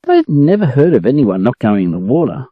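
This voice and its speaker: A man's voice: mid-range voice, very animated, brisk, husky, no room sound, phone-quality sound. An Australian man narrating a children's story for English learners as a storyteller.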